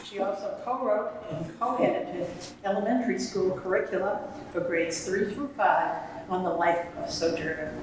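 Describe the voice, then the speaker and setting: An older woman in a museum hall introducing a fellow performer in a measured, friendly way. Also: mid-range voice, a little hiss or hum. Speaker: readers theater performer. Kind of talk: formal spoken introduction. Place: large museum hall with hard walls, indoors. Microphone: far camera. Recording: very echoey hall, distant camera.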